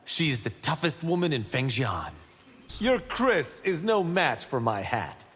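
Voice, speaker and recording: A man's voice: fairly high pitch, big pitch swings, a little slow, a bit bright, breathy edge, heavily acted. An actor playing a proud young male Shaolin warrior in a video game, brash and boastful, with long reverb. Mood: cocky, playful. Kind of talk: video game character dialogue. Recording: long reverb, faint noise.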